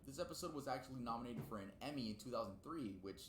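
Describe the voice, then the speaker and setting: A man's voice: mid-range voice, animated, very fast. A young man narrating casual, semi-scripted video commentary. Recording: roomy home recording, clear background noise.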